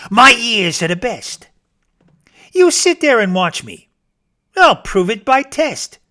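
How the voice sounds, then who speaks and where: Cocky little bragging rabbit, played by a male reader: snappish storybook animal, pitched high, bright and sharp. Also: big pitch swings, slow, heavily acted. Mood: confident. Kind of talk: theatrical children's storybook reading.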